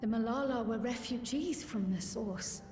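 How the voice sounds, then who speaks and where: An actress playing an earnest, thoughtful young woman scholar in a video game, deliberate and inquisitive. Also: fairly high pitch, darkish tone, natural voice. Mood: inquisitive, pondering. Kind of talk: dramatic game cutscene acting. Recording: echoey hall reverb, game music.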